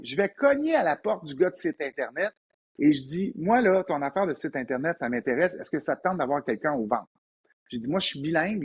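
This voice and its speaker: Husky, higher-voiced Québécois man telling stories. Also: dull audio. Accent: Québécois French. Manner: animated anecdotal storyteller, frequent restarts. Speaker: entrepreneur guest. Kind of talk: storytelling in a casual interview.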